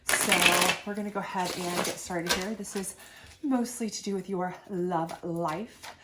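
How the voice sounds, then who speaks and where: A woman's voice: on the low side, unhurried, very noisy. Congested woman reading tarot cards. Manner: chatty, reassuring, many fillers and asides. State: congested from a sinus infection. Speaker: tarot reader. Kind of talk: casual tarot reading to camera.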